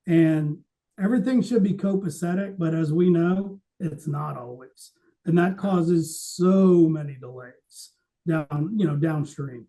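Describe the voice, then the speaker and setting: A man's voice: fairly high pitch, warm tone, poor audio. A man in a casual industry discussion, explaining things in a matter-of-fact way as an expert on the trade.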